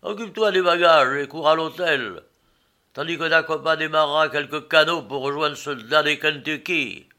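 A man voicing a loud, excitable, indignant fat American passenger in a storybook, shouting volubly. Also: fairly high pitch, moderate pace. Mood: urgent, commanding.

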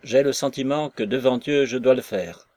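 A man's voice: medium pitch, moderate pace, warm tone, no room sound, voice pushed up, natural voice. A man voicing a remorseful nobleman who speaks in an earnest, pleading way.

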